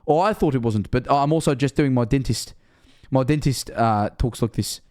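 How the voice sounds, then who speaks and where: A man's voice: mid-range voice, normal speed, a little mellow. A Scottish man of 21 streaming casually, rambling and self-mocking, swearing often.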